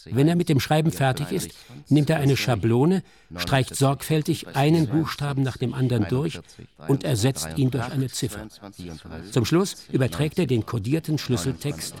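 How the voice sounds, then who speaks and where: A man's voice: mid-range voice, animated, moderate pace, a crowd in the background. A man narrating a scripted, literary radio drama.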